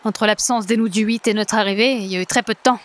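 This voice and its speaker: An actress playing a bossy, quick-thinking time traveller, colloquial and assertive, in a comic audio drama. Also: female voice, medium pitch, fairly even intonation, lightly acted.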